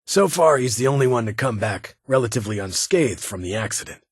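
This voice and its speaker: A man's voice: medium pitch, expressive, a little fast, husky, dry recording, clean studio sound. A man playing a stern, guarded military security chief in a video game, with a clipped, authoritative and brusque manner. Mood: guarded, reflective. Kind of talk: video game character dialogue.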